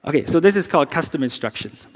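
A man's voice: a little high, quick pace. German man presenting in a big hall. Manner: relaxed, explanatory, many fillers and restarts. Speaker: security researcher. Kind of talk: conference talk with live demo. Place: large conference stage. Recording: long reverb of a big hall.

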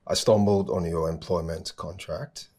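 A man playing a very deep-voiced gentle boss. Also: fairly even intonation, normal speed. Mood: warm. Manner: soft-spoken, reassuring, hesitant. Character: caring male boss, gentle and thoughtful. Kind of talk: amateur skit acting.

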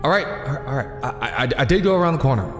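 Male actor as weary, secretive millionaire vigilante, terse, lively mid pitch, radio play with roomy echo. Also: close to an everyday voice. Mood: defensive, reluctant. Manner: halting, terse. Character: guarded, weary rich man hiding a vigilante identity. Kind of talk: audio drama acting. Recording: echoey reverberant hall, faint music.

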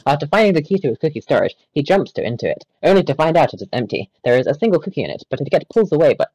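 A man's voice: fairly high pitch, very animated, very fast, a little mellow, husky, dry recording, low-quality recording. A man reading a wiki page aloud in a group voice chat, stumbling and correcting himself as he goes. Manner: reads aloud with stumbles, self-corrections and laughs.

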